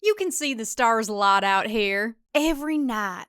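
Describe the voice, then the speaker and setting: A woman's voice: fairly high pitch, lively intonation, moderate pace, a bit bright, clean tone, no echo, studio quality. A woman voicing a folksy, lovestruck male country neighbor with drawling bumpkin talk in an audio story. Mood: lovestruck, earnest.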